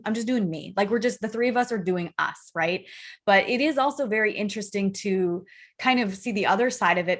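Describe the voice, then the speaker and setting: Female rock singer as interview guest: soft, girly voice, informal and candid, conversational delivery. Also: mid-range voice. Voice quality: soft, girlish feminine voice.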